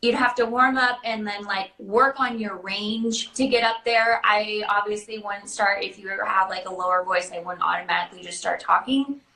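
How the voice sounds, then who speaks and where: A woman's voice: medium pitch, steady tone, normal speed, hardly any pauses, bright, forceful tone, echoey room, rough recording. A woman hosting a casual live chat, explaining things informally as she answers viewer questions. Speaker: children's party princess performer and live-stream host.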